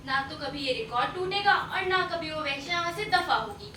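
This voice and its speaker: A woman's voice: high voice, expressive, fairly quick, sharp tone. A woman on stage playing an anxious, disapproving wife and mother with a nagging manner. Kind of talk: Hindi stage play acting. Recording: noisy, echoey, distant stage recording.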